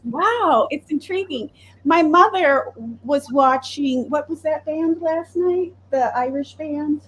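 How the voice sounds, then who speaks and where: A woman from Wisconsin hosting a podcast, chatting casually and telling animated stories. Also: high voice, takes it slowly, slight background noise, low-quality recording. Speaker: podcast host. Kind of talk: casual chat.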